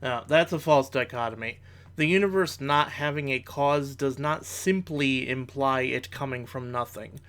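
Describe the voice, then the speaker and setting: A man's voice: mid-range voice, a bit bright. Male commentator, mid-range voice, formal analytical delivery, making point-by-point rebuttals. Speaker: video creator giving rebuttals. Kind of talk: reaction commentary, argumentative.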